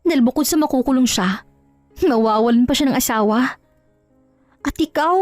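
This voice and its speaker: A woman storyteller acts out a betrayed wife in an audio drama. She is proud and scornful, taunting in a highish voice. The sound is close and dry, with music underneath. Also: darkish tone, no room sound, lightly acted. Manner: taunting, sarcastic, mixes in English.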